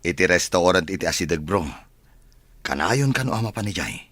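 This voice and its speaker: A man playing a shy, hesitant bachelor son in an Ilocano comedy radio drama, with a husky voice and an Ilocano accent. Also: deep voice, expressive, a little fast, a bit bright, dry recording. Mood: smitten. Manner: hesitant, stammering. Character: well-off bachelor son, shy and bashful with women.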